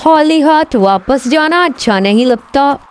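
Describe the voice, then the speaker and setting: A woman's voice: sits high, expressive, unhurried, voice pushed up. A woman voicing a proud, commanding king out hunting in a moral storybook tale. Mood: determined. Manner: commanding, lordly. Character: proud hunting king, male, stubborn but softening.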